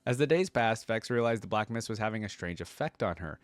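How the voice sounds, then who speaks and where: A man with a fairly low voice hosts a reaction channel. He moves between reading aloud and casual commentary, thinking out loud in an informal way. The sound is dry, with very little room in it. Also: lively intonation, a little fast, no echo.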